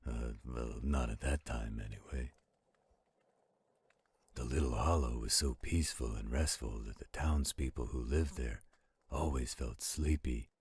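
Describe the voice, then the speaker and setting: Man voicing a very deep, husky, gentle werewolf protector. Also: full character voice. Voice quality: very deep, husky, gravelly growl. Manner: slow, hushed, caring. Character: gentle protective male werewolf, soothing storyteller to his partner. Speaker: voice actor in roleplay audio. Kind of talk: slow intimate bedtime storytelling roleplay.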